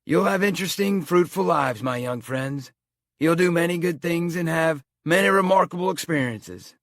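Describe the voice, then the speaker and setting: A man's voice: fairly high pitch, normal speed, a bit bright, no room sound, clean studio sound, pitched above the performer's own voice. A man voicing a very old male amusement park owner giving a solemn, sweeping speech. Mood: grand, earnest.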